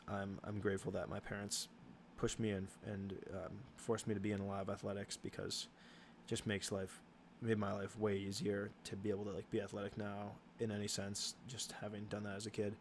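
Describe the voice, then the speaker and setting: A young man hosting a casual livestream Q and A, answering chat questions in a halting way with many ums and restarts. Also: lowish voice, level tone, gravelly, a little hiss or hum.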